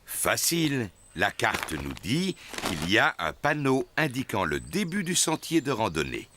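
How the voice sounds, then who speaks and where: An actor voicing a jolly, confident, bumbling daddy pig in a children's cartoon, with a jovial, self-assured manner. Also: male voice, mid-range voice, huge pitch swings, measured pace, background noise. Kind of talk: children's cartoon dub acting.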